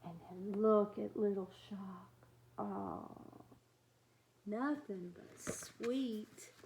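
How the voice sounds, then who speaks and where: A woman reading a picture book aloud to children in an expressive way, often chatting directly to her young listeners. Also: medium pitch, drawn-out words, noisy background. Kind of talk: animated read-aloud with chatty asides.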